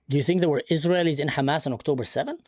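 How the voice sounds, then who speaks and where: A man's voice: on the high side, no echo. A man who grew up in the Middle East, hosting a live stream and arguing insistently with a caller. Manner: insistent, argumentative, talks over the other speaker. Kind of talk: heated debate on a live stream.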